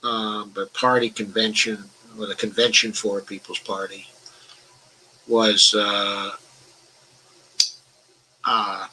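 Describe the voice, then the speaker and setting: A man's voice: fairly low pitch, flat delivery, slow pace, pauses often, bright, forceful tone, husky, poor audio. An older man hosting a casual live-stream talk, reading out viewer comments and answering, with occasional stutters and chuckles. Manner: talks about his own stutter, some restarts. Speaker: host of a political discussion show.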